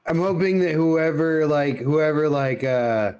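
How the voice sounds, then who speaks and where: A man's voice: fairly high pitch, unhurried, darkish tone, clear, resonant tone. A man co-hosting a podcast in casual banter, teasing and often repeating himself for emphasis. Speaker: podcast co-host.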